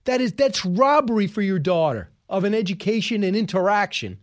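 A male radio host talking briskly and conversationally, giving opinions and taking calls. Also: sits high, very animated. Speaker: radio talk show host. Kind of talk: talk radio.

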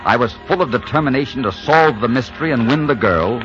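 A man's voice: medium pitch, animated, brisk, close to an everyday voice. An actor playing a tough, ardent romantic hero who narrates his adventure in dramatic 1940s radio style. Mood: dramatic narrating. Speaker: leading man and narrator. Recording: dull 1940s radio recording with music.

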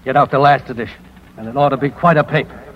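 A man's voice: medium pitch, steady tone, quick pace, slight background noise, close to an everyday voice. An actor playing a wry, resigned, matter-of-fact newspaperman in a 1950s radio drama. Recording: dull vintage radio broadcast.

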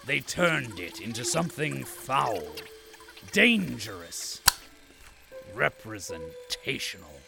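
A man's voice: mid-range voice, sing-song, takes it slowly, gravelly, exaggerated voice. A man playing a pompous, indignant narrator who addresses the listener in grandiose, disdainful, theatrical style. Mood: ominous, scornful. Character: pompous indignant male announcer narrating the tale. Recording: music bed behind the voice.

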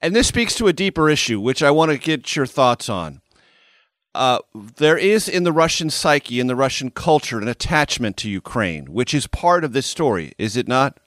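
A man hosting an interview podcast, asking long explanatory questions in a measured way. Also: medium pitch, sing-song, fairly bright tone, dry recording, studio quality. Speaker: podcast host.

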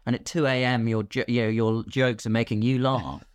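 A man's voice: medium pitch, fairly even intonation, brisk, clean tone, no echo, clean studio sound. A British man talking casually as a podcast guest, chatty and self-deprecating about himself. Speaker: guest, actor and filmmaker.

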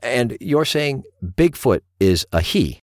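An actor playing a calm, attentive male late-night radio host, measured and courteous as he probes his callers. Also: mid-range voice, dry recording, studio quality. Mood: curious, probing. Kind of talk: radio call-in acting in audio drama.

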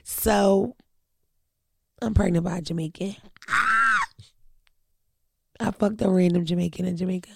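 A woman's voice: on the low side, fairly even intonation, unhurried, gravelly, pauses often, very noisy. A woman telling blunt, crude stories in a casual podcast chat, close to the mic.

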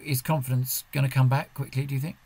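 Wry male host with mid-pitched voice. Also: flat delivery, fast, mellow, slightly rough. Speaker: podcast host. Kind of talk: informal discussion, asking questions.

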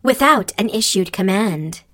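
A woman's voice: mid-range voice, expressive, unhurried, a bit bright. A woman voicing a rigid, literal-minded computer program in an audio drama, with clipped, formal, robotic phrasing. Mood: formal, demanding. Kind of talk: scripted audio drama acting.

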